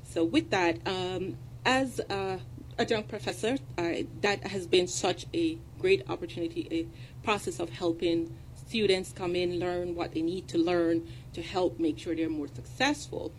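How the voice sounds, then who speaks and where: A woman's voice: on the low side, steady tone, a little slow, poor audio. Jamaican woman with a british tinge, composed guest on a radio show, faint room hum. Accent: Jamaican with British tones. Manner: composed and articulate. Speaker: guest, consultant and adjunct professor. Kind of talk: radio interview talk. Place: radio studio.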